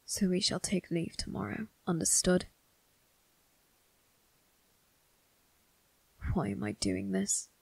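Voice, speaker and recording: A woman voicing a brooding, cold but protective young male vampire crime boss in an ASMR roleplay, speaking formally and deliberately in a raspy, breathy voice that dips into whispers. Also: fairly low pitch, no echo, full character voice, rough recording. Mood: stern, protective. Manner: deliberate, formal, menacing, drawn-out phrasing. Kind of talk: ASMR roleplay, dramatic monologue addressed to listener.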